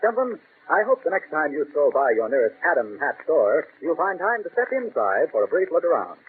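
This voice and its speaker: Brisk old-time radio announcer man. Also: on the high side, very animated. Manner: brisk, salesy. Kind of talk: 1930s radio announcer and ad read. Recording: lo-fi old radio broadcast, faint hiss.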